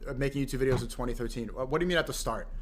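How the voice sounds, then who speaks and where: A man's voice: mid-range voice, very fast, slight background noise. A man livestreaming casual reactions and reading chat aloud, blunt and profane in his slang. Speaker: live streamer.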